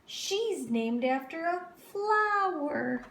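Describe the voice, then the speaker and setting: A snooty little schoolgirl from a children's storybook, put on by a woman reader. She pipes up high in an exaggerated singsong, in a slightly echoey room. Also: lively intonation, extremely slow, noisy background, full character voice. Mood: mocking.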